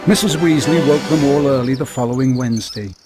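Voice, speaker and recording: An actor narrating a children's fantasy story for a video game, with a measured storyteller's delivery. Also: male voice, mid-range voice, over music, close to an everyday voice. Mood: measured storytelling. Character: storybook narrator, man telling a children's tale.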